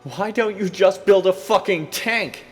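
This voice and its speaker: A man's voice: high-pitched, a little slow, voice pushed up. A man voicing an enraged young man who screams and curses at his captor. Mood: furious screaming. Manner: shouting, raw fury. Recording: reverberant room with faint music.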